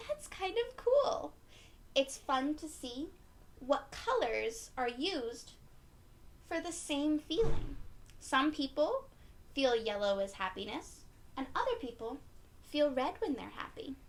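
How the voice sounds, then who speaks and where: A woman reading a picture book aloud to young children in an encouraging, expressive way, often putting questions to her listeners. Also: high-pitched, takes it slowly. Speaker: children's read-aloud storyteller.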